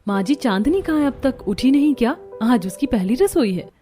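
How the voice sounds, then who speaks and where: A woman's voice: sits high, expressive, moderate pace, dark tone, soft background music, pitched above the performer's own voice. A woman voicing a dutiful, overworked housewife in a cartoon family story, polite and plaintive. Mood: eager. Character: dutiful, overworked housewife elder sister-in-law, meek.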